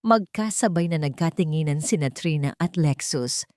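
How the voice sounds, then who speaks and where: A woman's voice: low voice, animated, moderate pace, darkish tone, no echo, clean studio sound. A woman narrator reading a scripted story in a dramatic style.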